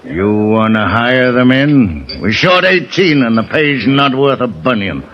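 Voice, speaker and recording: An old drunken sea captain grumbles through his bitterness and self-pity in a 1950s radio play. His slurred speech drags, yet the pitch swings widely. The old recording is dull and muffled. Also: big pitch swings. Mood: grudging. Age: 60s. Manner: slurred, halting drunken talk with coughs and grumbling. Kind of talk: 1950s radio drama acting.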